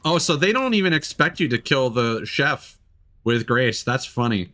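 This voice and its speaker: A man streaming a video game, talking through it in an expressive, playful way with casual swearing. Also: medium pitch, normal speed. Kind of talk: gameplay stream commentary with dramatic reading aloud of in-game documents.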